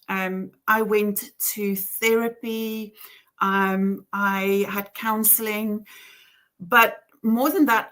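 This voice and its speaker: A woman with a heavy Afrikaans accent speaks slowly and reflectively, pausing often on ums. Her voice sits at a middle pitch for a woman. She tells her faith story in an earnest, searching way. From South Africa. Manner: earnest and reflective, with frequent ums and soft laughs. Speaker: interview guest. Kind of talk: personal faith testimony.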